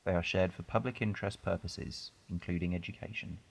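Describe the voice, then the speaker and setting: A man's voice: low voice, fairly even intonation, a little fast, creaky, a little hiss or hum. A man reading scripted announcements and credits as an announcer.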